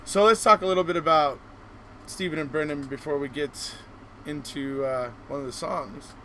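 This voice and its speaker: A man's voice: on the high side, normal speed, noisy background. A man hosting a live online show, chatting casually in an encouraging, rambling way. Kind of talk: casual interview chat.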